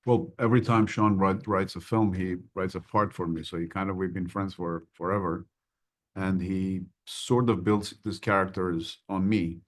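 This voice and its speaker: A man with a low voice answers interview questions. He speaks in a measured, thoughtful way with a slightly formal tone. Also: fairly even intonation. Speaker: actor, interview guest. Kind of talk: casual interview talk.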